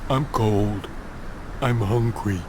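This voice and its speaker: Childlike superstitious man, slow broken English, pitiful pleading, game dialogue, clearly noisy background. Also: fairly low pitch. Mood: meek relief. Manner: broken simple English, pleading in short phrases. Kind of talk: video game voice acting.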